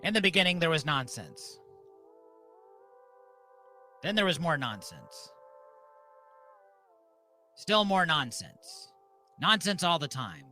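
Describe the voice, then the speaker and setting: A man hosting a comedy ceremony like a mock-solemn preacher, deadpan, speaking in deliberate short phrases. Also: fairly high pitch, faint music behind. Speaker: host and mock officiant of a comedy live show.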